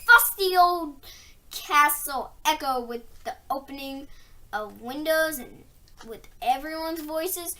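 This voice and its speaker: Female children's book reader: high-pitched, slow-paced, expressive, deliberate emphasis. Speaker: storybook reader. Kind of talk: expressive read-aloud of a children's novel.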